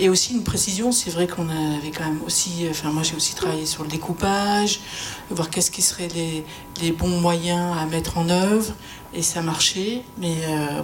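A woman with a slightly low voice speaks on a panel. Her phrasing is tentative and restarts often, over a faint low rumble. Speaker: sound production crew panel guest. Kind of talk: informal panel discussion.

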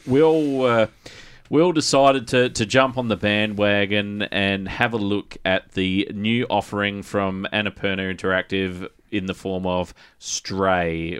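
A man hosting a casual gaming podcast, speaking haltingly and sometimes repeating his phrases. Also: on the low side, a bit bright, clear, resonant tone. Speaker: podcast host.

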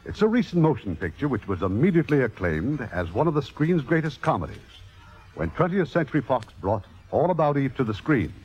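A man's voice: medium pitch, darkish tone, breathy edge. A man hosting with a formal, scripted introduction in 1950s radio style. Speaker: producer and host. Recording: muffled old radio recording. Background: music.

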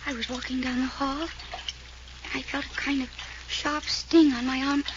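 A woman's voice: sits high, level tone, normal speed, lightly acted. A woman in 1940s radio style playing a frightened, naive, childlike young girl who speaks plaintively. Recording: dull, noisy old-time radio recording.

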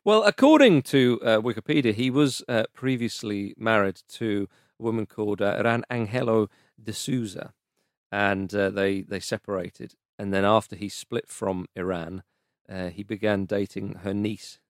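A man's voice: on the low side, moderate pace, no room sound, clean studio sound. A man hosting a casual football chat in a teasing, playful way. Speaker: podcast host.

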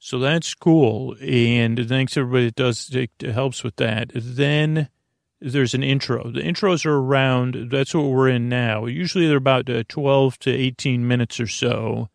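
A man with a gravelly, creaking voice at a middling pitch rambles as host of a bedtime podcast. He is halting and repetitive, stretching words and drifting into goofy tangents. The sound is close and dry. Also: dry recording. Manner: drawn-out words, droning; goofy, self-deprecating. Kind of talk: rambling improvised bedtime monologue.

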